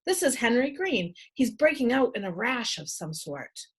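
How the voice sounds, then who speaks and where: Anxious female schoolteacher, voiced by a woman reader: urgent storybook delivery, lively intonation. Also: on the high side, normal speed, slightly rough.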